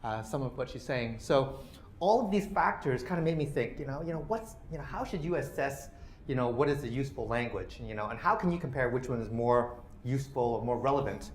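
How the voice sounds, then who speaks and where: A man's voice: medium pitch, expressive, a little fast, slight background noise. A man in his forties giving a lecture presentation, talking loosely with many "you know" and "uh" fillers. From Canada. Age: 40s. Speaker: guest speaker. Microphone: microphone. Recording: roomy lecture hall sound.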